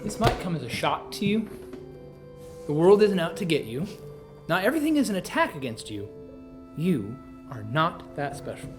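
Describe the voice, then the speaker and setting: A man's voice: on the high side, very animated, normal speed, long pauses, faint music behind. A man acting a skeptical, defensive big brother in naturalistic drama. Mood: irritated, accusing. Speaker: actor.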